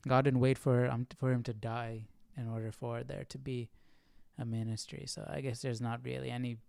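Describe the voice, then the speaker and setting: A man's voice: mid-range voice, flat delivery, normal speed, creaky, a little mellow, dry recording, studio quality. A man hosting a livestream, informally thinking aloud as he comments on a Bible reading. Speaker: livestream host.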